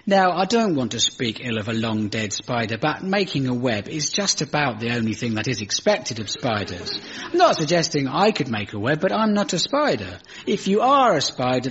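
A wry man with a nasal, mumbling voice delivers his comic monologue in a slightly high register. His pitch swoops animatedly from line to line. The recording is muffled and carries a loud hiss. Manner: wry, sardonic. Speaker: comedy show host.

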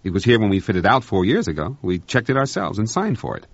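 A man's voice: lowish voice, sing-song, quick pace, no echo, lo-fi sound. Man doing a fussy, insistent ship's officer. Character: harried spaceship officer doing inventory.

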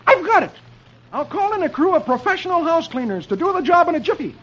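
An old, muffled radio recording features an excitable comic-strip husband. The voice leaps very high and swoops dramatically, overwrought in every phrase like a funny-pages family man. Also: normal speed, slight background noise. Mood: excited. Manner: moaning, exclaiming, overdramatic.

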